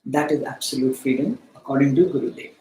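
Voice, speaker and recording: A man teaching philosophy, explaining deliberately in short, emphatic phrases with pauses. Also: medium pitch, mellow. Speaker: spiritual study teacher. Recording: echoey, roomy sound.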